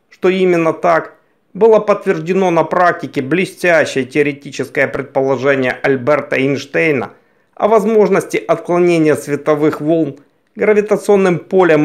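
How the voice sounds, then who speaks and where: A man reading scripted science documentary narration in a measured, formal, carefully enunciated way. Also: fairly high pitch. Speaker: narrator of a science news video. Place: voice-over recording.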